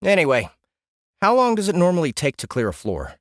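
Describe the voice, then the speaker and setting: A man's voice: on the high side, wildly swooping pitch, fairly quick, no echo, clean studio sound, voice pushed up. A man voicing a curious young man asking questions in an audiobook. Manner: casual, slightly unsure questions. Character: young man new to a game world, curious. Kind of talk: audiobook character voice.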